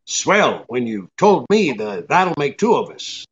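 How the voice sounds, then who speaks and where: An actor playing a tough, wisecracking, impatient private detective in a radio drama, brusque and sardonic. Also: male voice, medium pitch, big pitch swings, unhurried, slightly rough, lo-fi sound. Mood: cool. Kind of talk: hardboiled radio drama acting with narration.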